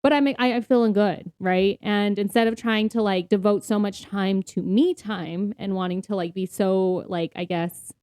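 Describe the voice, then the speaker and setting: A woman's voice: mid-range voice, steady tone, moderate pace, hardly any pauses, a little mellow, clear, resonant tone, no room sound. Female co-host, mid-range voice, informal and chatty, dry studio-style sound. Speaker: podcast co-host. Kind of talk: casual conversational podcast chat.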